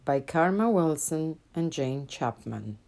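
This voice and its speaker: A woman's voice: low-pitched, expressive, slow, creaky, a little mellow. A woman reading a children's picture book aloud as a storyteller, with dramatic, rhythmic delivery.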